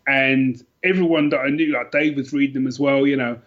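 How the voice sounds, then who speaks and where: A man's voice: mid-range voice, steady tone, a little fast. A middle-aged British man chatting casually and reflectively as a podcast guest, often repeating and rephrasing as he thinks aloud. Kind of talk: casual chat about favourite books. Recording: dull, lo-fi podcast audio.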